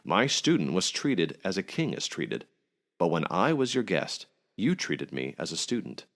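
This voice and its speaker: Guy reading as a jolly teasing king in a folk tale, bossy and regal, low voice that moves around. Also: big pitch swings, moderate pace, a bit bright, exaggerated voice. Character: jovial, commanding male king, teasing and sly.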